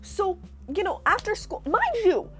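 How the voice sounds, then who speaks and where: A young woman from California telling stories in a chatty, animated way with sarcastic asides, reacting to her old posts. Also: extremely high pitch, unhurried, soft background music. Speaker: vlogger. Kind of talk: casual reaction vlog storytelling.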